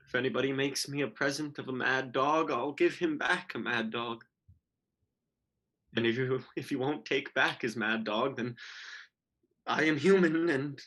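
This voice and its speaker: Bright-voiced, despairing schoolboy played by a man. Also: medium pitch. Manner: brooding, deliberate monologue. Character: bitter, despairing schoolboy brooding alone. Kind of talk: dramatic reading of a stage play.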